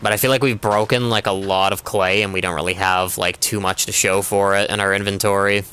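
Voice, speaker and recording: A man's voice: deep voice, level tone, brisk, bright, forceful tone, smooth. A young man streaming a game, chatting casually in a rambling way with constant filler words. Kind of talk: casual chat while gaming.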